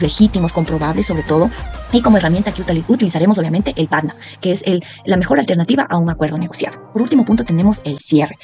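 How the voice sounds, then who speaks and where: Fast-talking woman presenting, mellow voice. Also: mid-range voice. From Ecuador. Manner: didactic, enumerating points. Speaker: university student presenter. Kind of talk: explanatory academic presentation.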